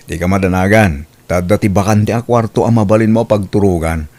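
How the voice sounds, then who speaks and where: A man's voice: lowish voice, fairly quick, darkish tone, close to an everyday voice. A man acting an attentive, persuasive young boyfriend in an audio drama. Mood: coaxing.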